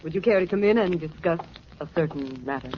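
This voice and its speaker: A woman's voice: low-pitched, a little fast, close to an everyday voice. An actress playing a brassy American showgirl who puts on affected, mock-refined airs in a slangy way, in 1940s radio style. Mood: haughty, confiding. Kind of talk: 1940s radio comedy-drama acting. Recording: muffled, slightly noisy 1949 radio.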